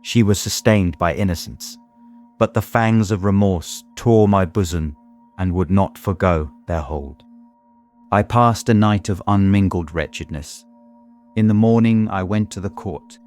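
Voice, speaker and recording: Low-voiced, grave gentleman narrator. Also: measured pace, faint music behind. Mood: anguished. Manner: literary, formal, grave. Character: guilt-stricken gentleman recounting his torment. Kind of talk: scripted audiobook narration.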